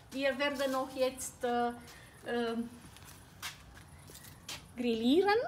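Woman, middle-aged, slow and halting, lots of fillers, playground outside, background noise. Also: high voice. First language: not German. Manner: halting, many fillers and self-corrections, giggles. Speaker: school director giving a tour. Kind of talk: improvised walking presentation. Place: outdoors on a school playground at dusk.

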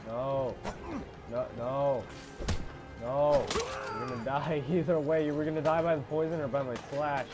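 Slow-mumbling congested young man gaming. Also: medium pitch, fairly even intonation, music in the background. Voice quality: congested, stuffy. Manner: mumbling, swearing, sudden loud outbursts. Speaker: gamer playing a shooter game. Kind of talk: casual gameplay commentary.

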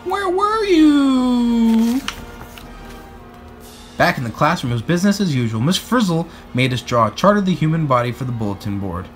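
A man reading a children's picture book aloud in an animated, expressive way. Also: mid-range voice, fast, hardly any pauses, faint music behind. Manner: expressive, acts out captions and speech bubbles.

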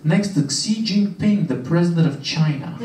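A young man from Romania doing a stand-up set on a small club stage with a handheld mic, delivering deadpan punchlines and chatting with the crowd. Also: high-pitched, normal speed. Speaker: stand-up comedian. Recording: roomy club sound, camera far off. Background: audience laughter.

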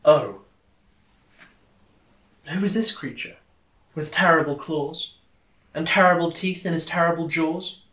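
A man's voice: on the high side, measured pace, pauses often, bright, forceful tone, roomy sound. A young man reading a children's story aloud expressively. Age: young adult. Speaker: storybook reader. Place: small indoor room, on a sofa.

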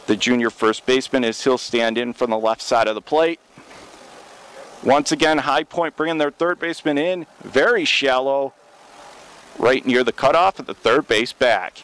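A young man calling radio play-by-play for a college baseball game, steady and measured, jumping up in pitch on big plays. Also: smooth.

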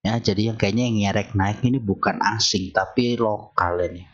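A hoarse man explains stock charts in a relaxed, conversational way, with many fillers. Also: lowish voice, normal speed, highs cut off. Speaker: stock market analyst. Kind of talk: screen-share chart walkthrough.

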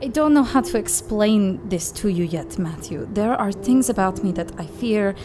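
A woman's voice: mid-range voice, expressive, normal speed, background noise, close to an everyday voice. A woman playing a protective, secretive werewolf in an audio drama, with solemn, formal phrasing. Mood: grave, guarded.

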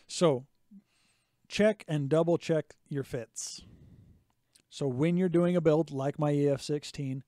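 A man in his thirties, slightly hoarse, co-hosting a casual hobby podcast and explaining projects step by step. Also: fairly high pitch, slow, long pauses, mellow, no echo. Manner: chatty, explains step by step with restarts. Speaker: podcast co-host. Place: small home workshop indoors. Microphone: studio mic on boom arm, close. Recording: dry close podcast mic.

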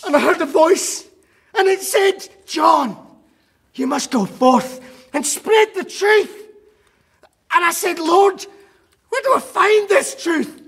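An actor playing a fanatical medieval preacher in a radio drama, orating slowly and fervently to a crowd. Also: male voice, very high voice, vocal fry, a bit bright, heavily acted. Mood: dramatic testimony. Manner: slow, fervent, theatrical oratory. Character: fanatical male crusade preacher whipping up a crowd. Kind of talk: historical radio drama acting. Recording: crowd murmur behind.

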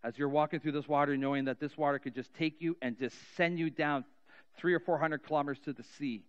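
Earnest pastor on a dull headset mic. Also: male voice, fairly high pitch, fairly even intonation. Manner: earnest, with slow drawn-out emphasis and trailing soft endings. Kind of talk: sermon storytelling and spoken prayer. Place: large church sanctuary, indoors. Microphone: cheek headset mic.

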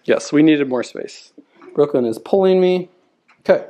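A man's voice: fairly high pitch, expressive, normal speed, warm tone. An American man in his thirties, a father chatting casually and playfully to his kids as he narrates for a home vlog. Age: 30s. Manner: playful, coaxing parent talk. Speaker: father hosting a family vlog. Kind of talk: casual narrated house tour, chatting to children. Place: indoors, rooms of a family house. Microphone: handheld camera at arm's length. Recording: noisy handheld home video.

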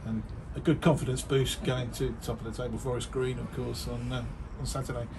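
A man interviewing a football manager after the match, asking brisk leading questions in a stadium press area. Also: mid-range voice, level tone, creaky, background noise. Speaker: club interviewer.

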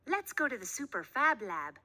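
A woman voicing a patient female schoolteacher in a storybook, instructing clearly and emphatically. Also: on the high side, sing-song, normal speed, sharp tone, voice pushed up, exaggerated voice. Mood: encouraging.

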